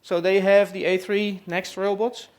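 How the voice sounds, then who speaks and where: A man with a Dutch accent speaks to an audience at an expo, pitched higher than most men. He talks with hesitant pauses and frequent fillers as he introduces his guests. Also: flat delivery. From Netherlands. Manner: hesitant, many "uh" and "let's say" fillers. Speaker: presenter hosting a farm tour session. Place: room at a trade expo.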